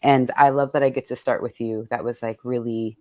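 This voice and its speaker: Low-key dry-room woman hosting, gushing and informal. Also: fairly even intonation, a little mellow, dry recording. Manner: gushing, informal, quick to laugh. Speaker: author hosting a virtual event. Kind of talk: casual chat.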